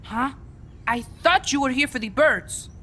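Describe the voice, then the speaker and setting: A woman voicing an excitable, naive young boy guerrilla in a video game, eager and exclamatory in manner. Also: high voice, measured pace, a little hiss or hum. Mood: wary. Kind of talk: video game cutscene acting.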